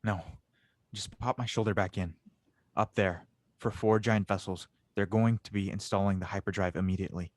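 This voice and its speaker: Casting: earnest young engineer hero man acting, determined, lower register, dry recording, audio drama. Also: fairly even intonation, normal speed, dry recording, lightly acted. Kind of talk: audio drama table read.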